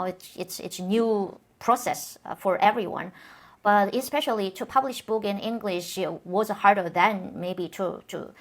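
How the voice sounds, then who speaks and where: Young adult woman, Korean accent, non-native English, halting interview host. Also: medium pitch, fairly bright tone. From North Korea. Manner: careful, halting English with frequent restarts. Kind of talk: conversational interview.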